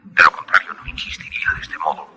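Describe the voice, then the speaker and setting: An actor in a radio drama playing a grave, insistent old professor with a raspy voice, heard over a telephone. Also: male voice, quick pace, sharp tone.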